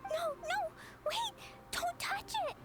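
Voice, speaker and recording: Squeaky, extremely high-pitched panicky child. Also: female voice, takes it slowly, loud music behind the voice. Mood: panicked. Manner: pleading, wailing, melodramatic. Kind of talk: video game character acting.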